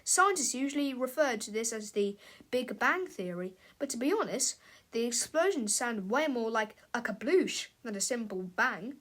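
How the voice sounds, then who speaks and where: Female storybook reader, high-pitched voice, expressive narration for children. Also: normal speed.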